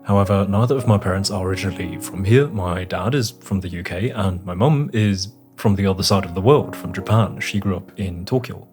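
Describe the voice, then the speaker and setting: Young male vlogger, deep voice, casual friendly voice-over narration over background music. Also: a little fast, few pauses. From Austria. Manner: relaxed, friendly storytelling with light self-deprecating humor. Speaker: travel vlogger.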